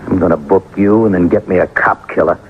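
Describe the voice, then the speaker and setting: A man's voice: deep voice, a little fast, lightly acted. A man acting a hard-nosed, relentless police detective in an old radio crime drama, questioning bluntly. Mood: stern, pressing. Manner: blunt, pressing interrogator. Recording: noisy, muffled vintage radio recording.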